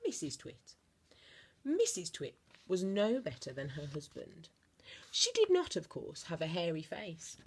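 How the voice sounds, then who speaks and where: A woman reading a children's storybook aloud like a teacher, expressively, with theatrical emphasis and deliberate dramatic pauses. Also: on the low side, very animated, breathy edge.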